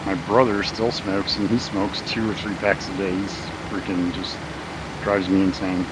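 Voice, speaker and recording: An older man with a very deep voice, chatting casually and joking about himself while flying in a sim. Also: flat delivery, moderate pace, heavy background noise. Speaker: flight sim pilot. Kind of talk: casual chat on voice channel.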